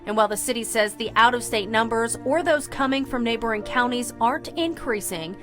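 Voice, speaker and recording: A woman reporter reads a measured news script in a slightly high voice. Music plays clearly underneath her narration. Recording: news package with music bed.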